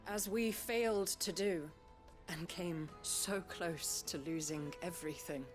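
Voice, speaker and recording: A woman's voice: on the low side, takes it slowly, music in the background. An actress playing an earnest, remorseful townswoman in a fantasy game, solemn and formal. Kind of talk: dramatic video game dialogue.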